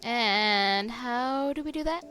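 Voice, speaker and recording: A woman's voice: fairly high pitch, takes it slowly, over music. A woman game streamer giving casual live reaction commentary as she plays.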